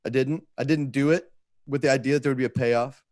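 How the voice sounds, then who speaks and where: A man's voice: medium pitch, fairly even intonation, fast talker, a little mellow, dry recording, clean studio sound. A man talking casually and earnestly to a group, swearing freely and often doubling back on his phrases. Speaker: writer and filmmaker. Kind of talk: casual heartfelt talk to a group.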